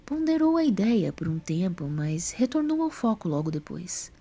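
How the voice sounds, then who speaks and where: Lady narrator, kinda low husky voice, moves around a lot in pitch, reading a scripted story. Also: big pitch swings, normal speed, mellow.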